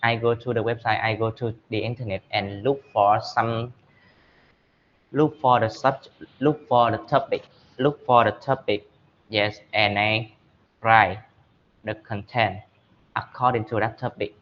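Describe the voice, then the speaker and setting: A man with a Vietnamese accent, an English learner doing speaking practice, halting and repeating phrases as he searches for words. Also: fairly low pitch, steady tone, lo-fi sound.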